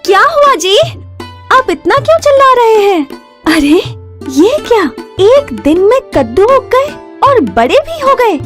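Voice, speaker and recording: A woman voicing a kind, obedient young village wife in a cartoon story, gentle, respectful and eager. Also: extremely high pitch, big pitch swings, a little slow, faint music behind, pitched above the performer's own voice, full character voice. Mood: excited, amazed.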